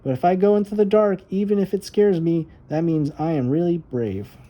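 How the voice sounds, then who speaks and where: An earnest little girl from a storybook, acted out by a man, determined to sound brave. The voice sits well above a man's usual pitch, with a soft, mellow tone. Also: moderate pace, lightly acted. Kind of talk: children's storybook read-aloud.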